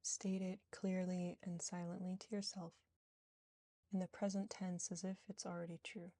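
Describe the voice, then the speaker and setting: A woman guiding a yoga nidra relaxation in a soothing, gentle, unhurried manner with long pauses. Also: fairly low pitch, little pitch movement, dry recording. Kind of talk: guided relaxation meditation.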